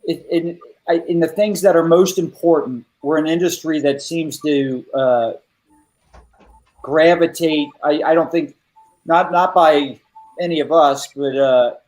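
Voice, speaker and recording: A man's voice: a little high, darkish tone, rough recording. Rambling male panel co-host. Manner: rambling, restarts often. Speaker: show co-host. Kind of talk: casual panel chat.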